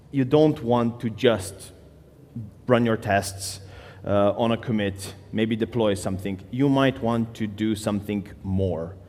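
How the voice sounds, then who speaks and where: A man from Slovenia talks loosely from a conference stage, trailing off into fillers and restarting phrases. His voice sits in a middle pitch, and a long reverb from the large hall follows each line. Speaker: conference speaker. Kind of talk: conference talk.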